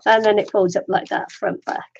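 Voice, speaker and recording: Brief: older woman from the Welsh borders, creaky rambling crafter voice, faint background noise. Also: medium pitch, little pitch movement, moderate pace, lo-fi sound. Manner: chatty, rambling, laughs often; self-deprecating. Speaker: crafter streaming a zine tutorial. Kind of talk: casual live craft tutorial.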